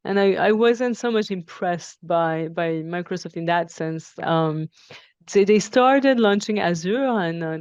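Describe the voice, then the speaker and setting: A woman's voice: fairly low pitch, darkish tone, smooth, dry recording, lo-fi sound. A woman in her forties from Iran and France, answering interview questions conversationally and reflectively, rephrasing as she explains. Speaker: interview guest, technology professional.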